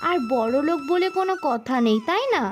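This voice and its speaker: A woman voicing a proud, doting, sharp-tongued village mother in a moral-story cartoon, with an emphatic manner. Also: high-pitched, animated, fairly quick, music in the background. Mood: haughty, scornful.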